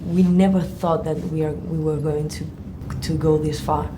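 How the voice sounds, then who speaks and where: A woman with a Spanish accent, a native Spanish speaker, answering interview questions haltingly and searching for English words. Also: low-pitched, level tone, noisy background. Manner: hesitant, searching for words, many restarts. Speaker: actor, interview guest.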